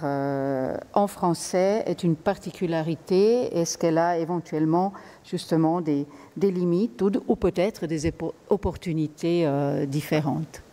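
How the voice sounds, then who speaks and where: A woman's voice: deep voice, mellow. A woman moderating a conference discussion and asking long questions, hesitant and full of fillers. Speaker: moderator, academic.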